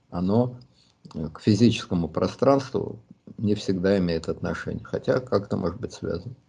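A man answering listeners' questions in a reflective monologue, thoughtful and pausing often with hesitations. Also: on the low side, dark tone, breathy edge. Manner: thoughtful, pauses with many hesitations.

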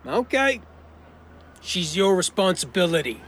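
A man's voice: high voice, sing-song, a little slow, fairly bright tone, exaggerated voice. An actor playing a wary, challenging doorman at an underworld club in an audio drama. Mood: suspicious.

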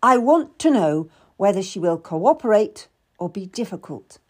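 A woman's voice: a little high, big pitch swings, unhurried, a little mellow, voice pushed up, heavily acted. A woman voicing a brusque, condescending, calculating Tudor nobleman in a dramatised audiobook. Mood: persuasive, calculating. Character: curt, haughty Tudor nobleman, busy and calculating.

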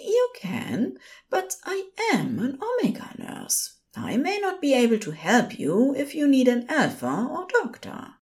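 Woman voicing a prim, high-pitched, soothing male nurse. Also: a little slow, exaggerated voice. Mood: courteous, patient. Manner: polite, formal, calm.

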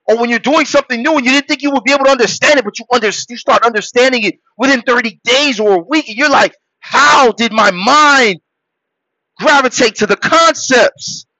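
A man born in Haiti preaches to his listeners in a high, bright, forceful voice, shouting some points and dropping to a low murmur for others. The recording is dull and dry, with no room sound. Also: a little fast, dry recording. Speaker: podcast host. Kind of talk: motivational monologue.

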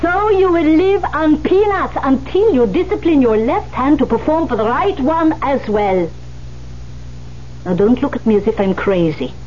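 Stern old mentor, fairly high voice, very animated, insistent formal delivery, muffled radio drama recording. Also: female voice, a little hiss or hum. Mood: scolding. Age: older. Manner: scolding, insistent, deliberate, formal phrasing. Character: stern, commanding old woman, the pianist's mentor. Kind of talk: 1970s radio drama acting.

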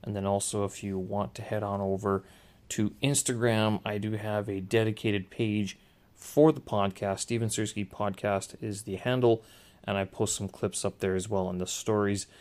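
A man with a naturally loud voice hosting a casual solo podcast, hesitant and often correcting and rephrasing himself as he goes. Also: lowish voice, level tone, normal speed. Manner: hesitant, self-correcting, circling back to rephrase. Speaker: podcast host. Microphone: small handheld recorder or podcast microphone.

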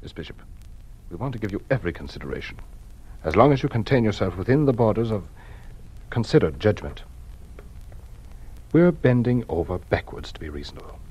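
A stern male official in a 1950s radio drama, talking down to the accused in a low, husky voice at a brisk clip. He sounds smooth and officious. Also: sing-song, dark tone, highs cut off. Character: stern male official running a loyalty hearing.

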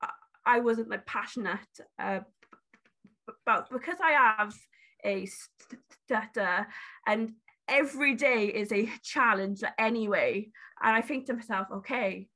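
A woman's voice: a little high, unhurried, sharp tone, poor audio. A woman who stutters heavily, with many repeats and restarts, talking candidly in an interview about her beauty brand. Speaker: guest, beauty brand founder.

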